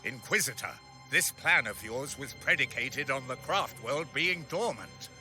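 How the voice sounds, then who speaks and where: A man playing a stern, noble warrior knight commander in a video game cutscene, formal and forceful. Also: mid-range voice, animated, unhurried, faint music behind. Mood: indignant.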